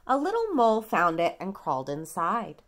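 A woman in her thirties reading a picture book aloud to a toddler at home, dramatically, pausing to ask simple questions. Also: mid-range voice, wildly swooping pitch. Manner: dramatic, childlike questioning and prompting. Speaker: parent reading a bedtime story to a toddler. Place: small quiet room at home.

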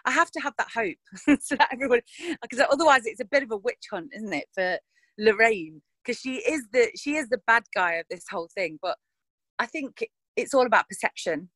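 A woman's voice: on the high side, animated, brisk, a bit bright, no room sound. An actress talking frankly in a casual interview, thinking aloud and restarting her sentences.

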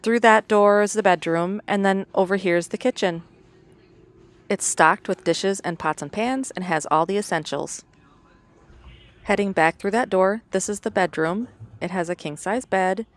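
A woman's voice: mid-range voice, moderate pace. A middle-aged woman reading a matter-of-fact voice-over about her weekend. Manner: even, matter-of-fact storytelling. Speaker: vlogger narrating her weekend. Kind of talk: scripted voice-over narration.

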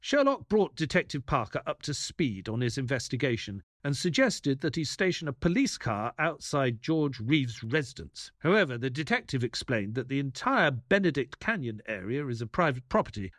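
Loyal assistant narrator, measured storytelling, lively intonation, dry recording, audio drama. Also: male voice, mid-range voice, no room sound.

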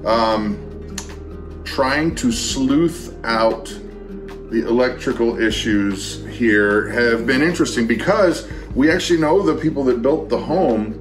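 A man's voice: medium pitch, takes it slowly, over music. A middle-aged man hosting a casual family vlog at home, joking and easygoing as he talks to a handheld phone camera. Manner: easygoing, joking, faith phrases. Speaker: vlog host. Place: home interior, kitchen and living room.

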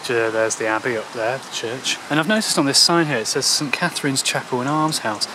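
A man in his thirties talks casually to a handheld camera as he walks outdoors. His delivery is halting, trailing into asides, at an ordinary male pitch. Clear ambient noise sits behind his voice. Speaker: travel vlogger. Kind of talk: casual walking commentary. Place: outdoors in a park and old town streets. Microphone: handheld camera at arm's length.

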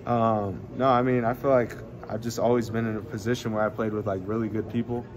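A man's voice: on the low side, a little fast. A young man, a college basketball player, answering reporters after a game in a casual, rambling way full of fillers. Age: young adult. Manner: relaxed, rambling, many fillers and restarts. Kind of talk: postgame media scrum. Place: arena hallway. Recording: crowd noise in arena hallway.

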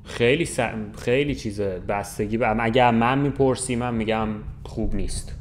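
A young man talks in a medium-pitched voice. He explains his points in loose, everyday speech, as a podcast co-host would, often checking that he is being followed. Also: slight background noise. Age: 20s. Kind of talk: casual chat. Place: small bright room with large window.

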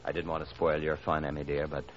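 An actor playing a charming, sinister uncle in 1940s radio drama, with a genial, smooth-talking, theatrical manner. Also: male voice, very deep voice, flat delivery, fast talker. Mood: genial, regretful. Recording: noisy muffled 1940s radio broadcast.

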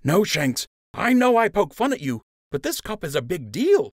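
A man's voice: high-pitched, very animated, moderate pace, dry recording, clean studio sound, voice pushed up. A man voicing a loyal young male rabbit friend in an audiobook, eager, teasing and insistent. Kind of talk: audiobook character acting.

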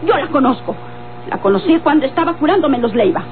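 A woman playing a sharp-tongued old Mexican grandmother in a radionovela, suspicious, accusing and interrogating. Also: sits high, lively intonation, fast talker, background noise. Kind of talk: Mexican golden-age radionovela acting. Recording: tinny old radio, faint music.

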